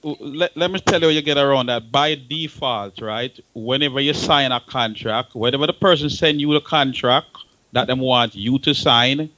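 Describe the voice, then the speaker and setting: A man's voice: medium pitch, normal speed, bright, forceful tone, poor audio. Emphatic Jamaican man in discussion. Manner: emphatic, repeats words and phrases. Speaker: talk show panelist. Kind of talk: informal advice in panel discussion.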